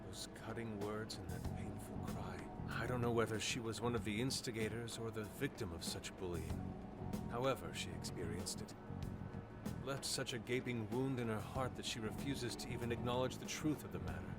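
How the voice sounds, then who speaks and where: An actor playing a calm, thoughtful young man in a video game, speaking in a measured, solemn way. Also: lowish voice, gravelly. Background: game music.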